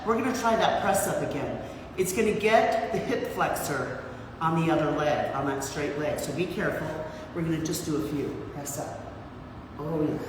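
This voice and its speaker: A woman in her sixties with a low, husky voice, guiding a workout class and counting reps with encouragement. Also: moderate pace, background noise. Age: 60s. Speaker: fitness instructor. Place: large gym. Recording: echoey hall, mic far off.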